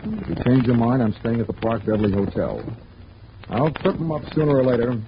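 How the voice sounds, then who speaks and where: A man's voice: mid-range voice, expressive, brisk, background noise, close to an everyday voice. An actor playing a hard-boiled male insurance investigator who narrates his own case, with a dry, world-weary, wisecracking manner in a 1940s radio drama. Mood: pushy. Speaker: narrator and lead. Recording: muffled old radio recording with music.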